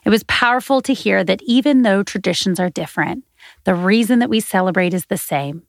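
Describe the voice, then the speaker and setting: A woman hosts a faith podcast, her voice gravelly with a little vocal fry. She reads her script earnestly and steadily, close and dry with no room sound. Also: medium pitch, fairly quick, no echo. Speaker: podcast host.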